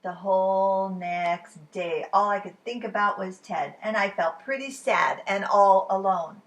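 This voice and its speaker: A woman reading a children's story aloud as storytime reader, expressive and dramatic in delivery. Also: mid-range voice. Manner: expressive, dramatic pauses, drops to whispers. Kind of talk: animated children's story narration.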